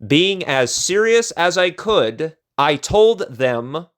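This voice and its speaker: A man narrating a scripted personal story as a storyteller, with theatrical, measured phrasing. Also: on the high side, big pitch swings, fairly bright tone.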